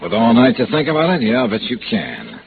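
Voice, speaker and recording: An American actor playing a wisecracking, world-weary insurance investigator in a vintage radio noir drama, with clipped, dry delivery. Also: male voice, mid-range voice, wildly swooping pitch, a little fast, creaky, crowd noise. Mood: sardonic, skeptical. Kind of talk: old-time radio noir acting and first-person narration. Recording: muffled vintage radio broadcast with music.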